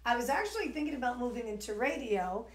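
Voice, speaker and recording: A woman's voice: on the high side, very animated, fast talker, echoey room. A woman in her forties from New York with an East Coast American accent, hosting a live shopping stream in a chatty, rambling way with lots of fillers. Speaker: shopping channel host.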